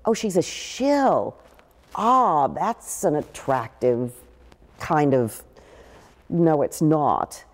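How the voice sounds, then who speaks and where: An older actress telling stories in a seated interview, with a deep, big voice and lively gestures. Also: female voice, extremely slow, long pauses, big-room sound. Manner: expressive, gestures a lot while talking. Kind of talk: animated interview storytelling. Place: living room with a pool table, indoors.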